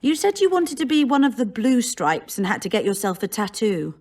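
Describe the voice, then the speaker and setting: A woman's voice: fairly high pitch, big pitch swings, fairly quick, a little mellow. An actress voicing a dry, matter-of-fact female soldier in a fantasy video game. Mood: wry.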